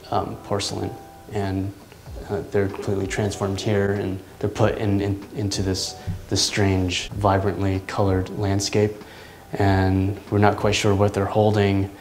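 A man in his thirties speaks slowly and thoughtfully, hesitating and restarting as he searches for words. His voice sits low and stays flat, with little rise or fall. The room around him rings with echo, and soft music plays underneath. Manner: thoughtful, halting, many ums and restarts. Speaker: artist. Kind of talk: reflective interview talk. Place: large indoor art studio. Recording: echoey studio room.

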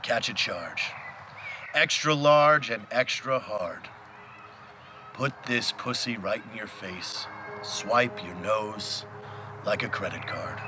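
Slow, theatrical man over clear music. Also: on the low side. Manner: deliberate, theatrical delivery. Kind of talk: mock-dramatic reading of rap lyrics. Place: outdoors among trees. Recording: music under the voice.

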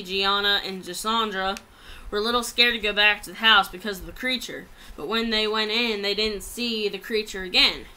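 A woman's voice: mid-range voice, sharp tone, a little hiss or hum, low-quality recording. A young adult woman reads a written story aloud plainly, stumbling over some words, in a small room at home on a laptop microphone. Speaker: amateur writer reading own story.

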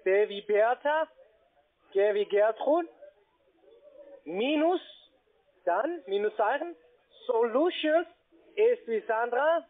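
A man with a foreign accent speaking non-native German, giving a halting phone sales pitch as a call centre agent and often checking with short tag questions. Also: lively intonation. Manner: halting, broken grammar, checks with "ja?" and "ne?". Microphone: telephone. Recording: muffled narrow phone line.

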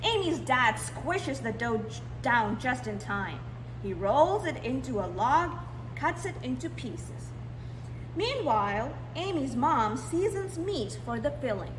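A woman with a high, very lively voice reads a storybook to small children. She takes her time and leans expressively on key words. The room is echoey. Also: slow pace. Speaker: library storytime reader. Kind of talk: animated picture-book read-aloud for young children. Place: indoors in a library, seated. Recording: roomy echo, clear background noise.

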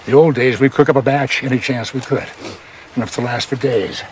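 An actor playing an easygoing middle-aged outlaw mentor in a western series, with gravelly voice and folksy old-time phrasing. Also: male voice, mid-range voice, a little fast, slight background noise, natural voice. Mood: wry, reminiscing. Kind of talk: screen acting, casual western dialogue. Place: outdoors by a river.

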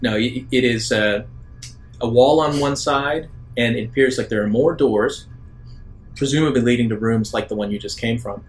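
A man narrating an improvised tabletop roleplaying game as game master, in a measured way with hesitant pauses. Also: medium pitch. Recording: roomy echo, faint background noise.

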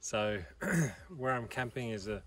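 A man in his thirties talking casually and haltingly to camera as a solo vlogger, in an unhurried way. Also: fairly low pitch, steady tone, fairly bright tone, faint music behind. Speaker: vlog host. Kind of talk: casual solo camping vlog. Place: outdoors in an open valley, partly inside a car. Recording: far from the mic, outdoor ambient noise.

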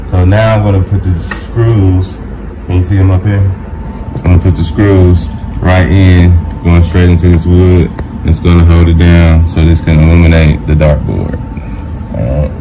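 Guy in his 30s w a low voice, chatting thru a diy install at home, clear background noise. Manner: informal, talking through steps as he works. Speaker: DIY video host. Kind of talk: casual hands-on product demo and tutorial. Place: small home game room, indoors. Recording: noisy home room recording.

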